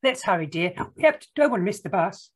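Woman as a kind, husky storybook mother. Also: mid-range voice, lively intonation, fast. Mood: coaxing. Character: kind, patient mother, affectionate storybook parent.

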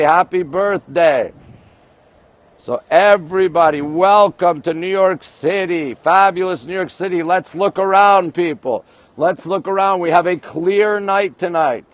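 Montreal-born guy livestreaming off a high-rise balcony at night, reads out comments, greets everyone, slightly high voice, muffled phone audio. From Montreal, Canada. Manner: chatty, greets viewers by place, reads comments aloud. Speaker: livestream host. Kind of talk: casual livestream chat greeting viewers. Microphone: phone camera held by speaker.

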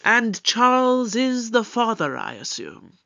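Probing eccentric investigator, played by an actor, audio drama, animated pitch, deliberate formal questioning, slightly muffled recording. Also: creaky, full character voice. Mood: coolly insistent.

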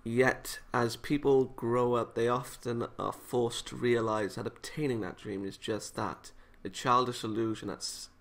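A man's voice: medium pitch, little pitch movement, measured pace, few pauses. A British man hosting a gaming video, chatting casually and reading visual novel text aloud with playful, self-interrupting asides. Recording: music playing behind the voice.

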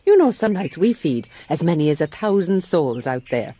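An actress with an Irish accent playing a middle-aged, shrewd and gently firm Mother Superior in a radio drama. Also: low voice, very animated, moderate pace. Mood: firm, gentle. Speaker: narrator and character actress. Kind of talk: radio drama narration and acting.

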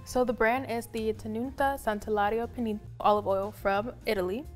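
A woman's voice: on the high side, steady tone, brisk, soft background music. A young woman co-hosting a cooking demonstration in a studio kitchen, presenting food products in an upbeat, agreeable way. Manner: upbeat, frequent agreeing murmurs.

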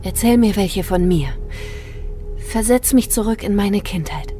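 A woman's voice: medium pitch, a little slow, dark tone, close to an everyday voice. An actress playing an earnest, polite, emotional woman in a science fiction radio play. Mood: tender. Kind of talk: radio drama acting. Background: music.

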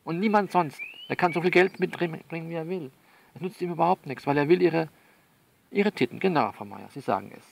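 A man's voice: a little high, fairly quick, gravelly. A man in a conversational, improvised one-sided monologue, coaxing and bossing a listener along and often repeating himself. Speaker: performer of his own audio novel.

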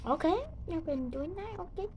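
A young girl with a sore throat, rambling casually through gameplay commentary with halting restarts and sudden excited outbursts. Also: very high-pitched. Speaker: gaming channel host.

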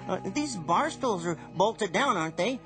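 An actor playing a mild, polite, fussy man with a halting manner in a radio drama. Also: high voice, animated, over music. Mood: modestly showing off. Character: mild, fussy, meek man showing off new superhuman strength.

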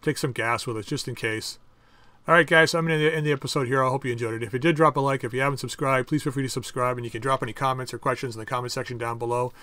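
A man hosting a casual game playthrough, chatting and thinking aloud as he crafts items, with his voice going a bit hoarse. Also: medium pitch, steady tone, racing, pushed and bright, no room sound. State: losing his voice. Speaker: gaming channel host. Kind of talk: casual let's-play commentary.

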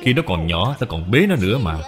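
A man's voice: lowish voice, sing-song, brisk, soft background music. A man voicing a genial great general, expansive and welcoming, in a cartoon. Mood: fondly impressed. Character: genial great general, hearty older family friend.

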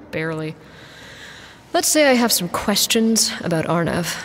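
A woman's voice: fairly low pitch, sing-song, measured pace, in a large hall, slight background noise. A woman playing a guarded, blunt, loyal mercenary pilot in an audio drama, with a dry manner. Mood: wry, questioning.

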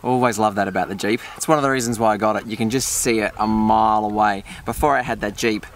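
A man's voice: lowish voice, fairly even intonation, quick pace. Easygoing Australian man vlogging, noisy outdoors. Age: 40s. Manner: chatty and easygoing, talking to his dogs. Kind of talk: casual vlog talk to camera. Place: in a car and outdoors on a hike. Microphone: camera held at arm's length or mounted on the dash. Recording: outdoor camera audio with clear background noise.

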